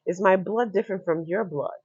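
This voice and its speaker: A woman's voice: medium pitch, brisk, darkish tone, slightly rough, dry recording. A woman from Nigeria with a Nigerian accent, a guest on a podcast interview, talking earnestly and reflectively and repeating her key points. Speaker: fashion entrepreneur guest. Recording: dull lo-fi sound.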